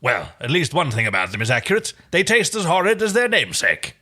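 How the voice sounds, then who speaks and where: A man's voice: a little high, wildly swooping pitch, a little fast, sharp tone, pitched above the performer's own voice, exaggerated voice. A man voicing a vain, self-important little male alien royal who grumbles, in a story reading. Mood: disgusted.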